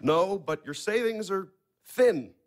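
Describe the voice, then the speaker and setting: A blunt adopted son who manages the family in an audio drama. His voice is quite high for a man. His delivery is dry, sardonic and controlling. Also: animated, slow pace. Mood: blunt, businesslike. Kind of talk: audio play acting.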